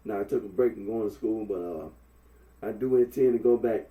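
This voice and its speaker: A young man from with a head cold, talking through a face mask in a rambling, halting monologue full of fillers. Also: on the low side, narrow, tinny audio. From Memphis, Tennessee. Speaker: vlogger. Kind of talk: casual Facebook Live monologue. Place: indoors at home. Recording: echoey room, mic at a distance.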